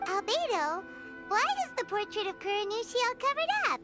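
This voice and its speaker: A woman voicing a small, childlike, excitable floating fairy companion in a video game, squeaky and nosy. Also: moderate pace. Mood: cheerful, curious. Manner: excitable, nosy, speaks of herself in third person. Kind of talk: video game character acting. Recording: loud music behind the voice. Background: loud game music.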